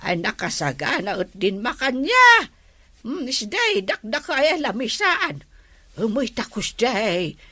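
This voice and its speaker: Coaxing village hostess acted by a woman, high husky voice, slow sing-song delivery, Ilocano accent, radio comedy drama. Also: wildly swooping pitch, bright, forceful tone, dry recording, full character voice. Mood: inviting, coaxing.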